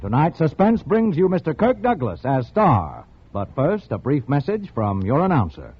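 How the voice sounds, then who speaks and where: A male announcer introduces a show in dramatic old radio style, his fairly high voice swinging in pitch. Loud music plays behind on a dull recording. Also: huge pitch swings, moderate pace.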